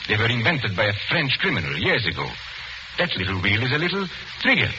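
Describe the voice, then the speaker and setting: A male actor plays a confident, courtly magician-detective in a 1940s radio drama. His delivery is deliberate and theatrical, with very animated pitch. The old recording is muffled and full of noise. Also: close to an everyday voice. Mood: triumphant explanation. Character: male master illusionist sleuth, suave, confident, unmasking a killer. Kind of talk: 1940s radio mystery acting.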